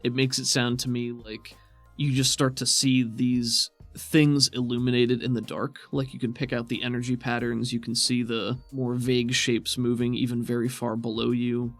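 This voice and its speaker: A man's voice: mid-range voice, level tone, moderate pace, no room sound, soft background music. A man working as game master, casually improvising descriptions for a tabletop game in a conversational way.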